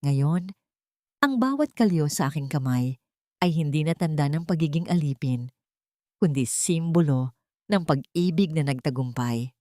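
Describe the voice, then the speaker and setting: A woman's voice: low voice, lively intonation, a little slow, long pauses, darkish tone, no room sound, studio quality. Heartfelt Filipino mother, read by a woman narrator. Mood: grateful, tender. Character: heartfelt, grateful mother who found her dignity. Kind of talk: audiobook character dialogue.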